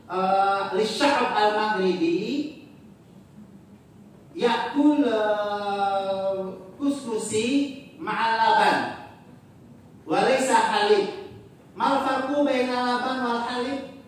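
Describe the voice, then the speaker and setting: Lecturer, chatty and joking, quizzes the audience, slips into Arabic phrases, distant mic in a booming room with faint noise. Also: extremely slow, pauses often, very echoey. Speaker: lecturer presenting slides. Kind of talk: casual classroom talk with slides.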